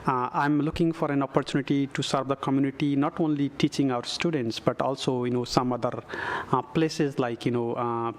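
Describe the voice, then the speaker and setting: A middle-aged man from Nepal, a council candidate giving a closing statement at a forum, speaking haltingly with many filler sounds. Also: mid-range voice, steady tone.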